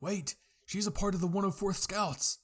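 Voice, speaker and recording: Earnest young male scout, excitable, higher register with some rasp, acted by a narrator, for anime fiction. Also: moderate pace, close to an everyday voice. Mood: excited.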